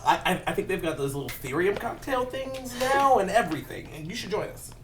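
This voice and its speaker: A woman at a cast table read, switching between script lines and joking, animated banter. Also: on the low side, fairly quick, faint music behind. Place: indoor room at a group table read. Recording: noisy echoey room, far from the mic.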